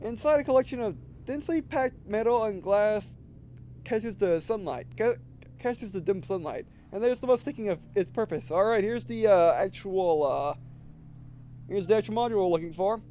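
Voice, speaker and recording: A man in his twenties giving casual, halting let's play commentary, often repeating phrases as he goes. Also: high-pitched, animated, warm tone, slight background noise. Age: 20s. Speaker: gaming video host. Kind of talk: casual let's play commentary, reading game text aloud.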